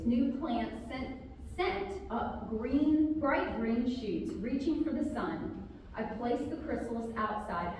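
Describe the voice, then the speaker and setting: A woman author speaking and reading a children's story aloud to a group, with expressive, drawn-out emphasis on key words. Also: a little high. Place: large reverberant room, live community gathering. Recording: very echoey hall, distant, faint noise.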